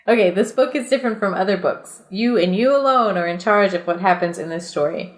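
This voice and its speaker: A woman's voice: medium pitch, fairly quick. A woman hosting a comedy podcast, mixing casual chat and reading aloud with giggly, self-deprecating asides.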